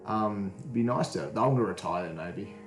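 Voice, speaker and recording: A man's voice: on the low side, expressive, normal speed, reverberant, faint music behind. A young man hosting a podcast, chatting in a laid-back, joking way with some swearing. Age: young adult. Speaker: podcast host. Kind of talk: casual podcast chat.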